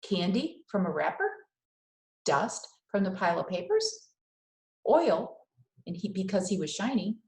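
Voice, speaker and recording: A woman's voice: mid-range voice, sing-song, unhurried, a little mellow. A woman reading a children's story aloud expressively, as a teacher would to young listeners. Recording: echoey room sound.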